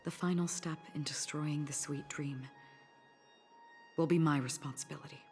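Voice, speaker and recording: An actress playing a calm, dutiful woman warrior in a video game, speaking formally and seriously. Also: low voice, moderate pace, husky. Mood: resolute. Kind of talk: scripted video game acting. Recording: game audio with faint music.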